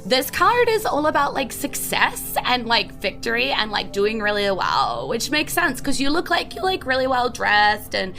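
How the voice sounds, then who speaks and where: A woman's voice: a little high, huge pitch swings, moderate pace, creaky, hardly any pauses, sharp tone, soft background music, heavily acted. A woman playing a gushing, airheaded young fortune-telling shopkeeper in a tabletop game, with a valley-girl drawl full of filler words.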